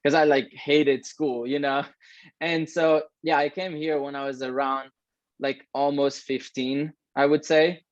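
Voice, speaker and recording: A man's voice: a little high, little pitch movement, a little slow, no echo. Fields: man in his twenties, from Iran, casual swearing talker, dull dry recording. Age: 20s. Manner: loose, swearing, lots of "like" and "right?". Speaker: co-host. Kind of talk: casual chat.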